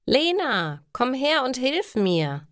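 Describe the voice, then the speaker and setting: Hohe, schwärmerische, wichtigtuerische Mädchenstimme von einer Frau. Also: female voice, high voice, very animated, slow, dry recording, clean studio sound, pitched above the performer's own voice, full character voice. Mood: bossy, gushing. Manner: gushing and possessive. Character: bossy, boy-crazy, self-important young girl.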